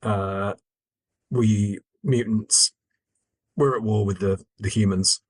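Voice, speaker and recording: A man's voice: lowish voice, steady tone, slow, dry recording. A man chatting casually as a podcast co-host, with a dry, slightly hesitant manner. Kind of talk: casual chat.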